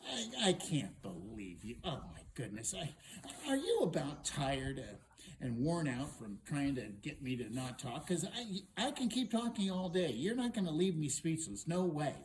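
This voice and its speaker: A man voicing a talkative, sceptical and sharp-tongued princess in a folk tale. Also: mid-range voice, very animated, unhurried, slight background noise, low-quality recording. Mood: incredulous. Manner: chattering, dismissive, argumentative.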